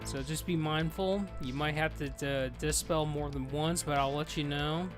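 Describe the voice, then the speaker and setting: A man in his thirties chatting casually while streaming a game with friends, loud and excitable and swearing freely. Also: fairly high pitch, moderate pace, over music. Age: 30s. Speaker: streamer leading a game group. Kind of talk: casual live gameplay chat.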